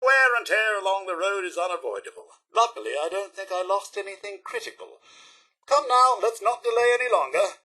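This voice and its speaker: Raspy, animated British robot butler. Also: male voice, high voice, brisk, full character voice. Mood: flustered, stoic optimism, then chipper, eager. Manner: formal, prim, theatrical, determinedly upbeat. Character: polite, fussy, chipper robot butler delivering messages. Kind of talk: video game character acting.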